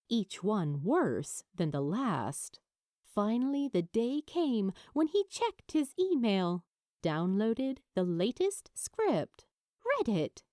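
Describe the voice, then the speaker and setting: Slow, expressive woman narrator. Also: medium pitch, dry recording, clean studio sound. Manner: measured, deliberate phrasing with emphatic pauses. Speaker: audiobook narrator. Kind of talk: scripted fiction narration, dramatic storytelling.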